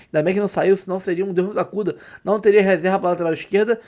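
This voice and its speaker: A man's voice: high voice, fairly even intonation, racing, darkish tone. A Brazilian man hosting a football fan channel, talking to viewers with enthusiasm and emphasis. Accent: Brazilian Portuguese. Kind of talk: excited football commentary to viewers.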